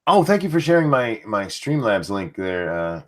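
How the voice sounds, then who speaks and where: A man's voice: fairly low pitch, very animated, moderate pace. An older man hosting a livestream, mocking what he reads aloud in crude, sarcastic, swearing commentary. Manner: reads chat and an article aloud. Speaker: livestream host.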